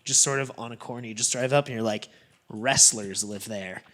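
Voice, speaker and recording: A man's voice: mid-range voice, moderate pace, a bit bright, slight background noise. A man from Canada co-hosting a podcast, chatting in an easygoing way. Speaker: podcast co-host. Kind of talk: casual chat.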